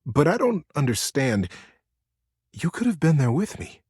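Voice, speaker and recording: A sensitive young storybook hero performed by a man, halting and sincere. His husky-edged voice moves widely in pitch as he stumbles through his words. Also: very animated, darkish tone, no echo, clean studio sound, heavily acted. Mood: confused, grateful. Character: hesitant, earnest, sensitive young man and brother.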